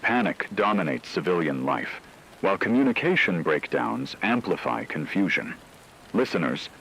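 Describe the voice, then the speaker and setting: A man playing a grave radio news anchor, delivering a scripted dramatic war broadcast in a solemn, measured, emphatic way. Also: low voice, fairly bright tone, breathy edge, heavily acted, low-quality recording.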